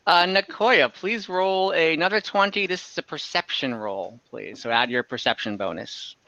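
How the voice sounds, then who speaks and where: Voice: mid-range voice, normal speed, bright, forceful tone, no room sound, muffled recording. A game master running an online fantasy tabletop game, improvising narration and thinking aloud in a casual, easygoing way. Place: online voice call.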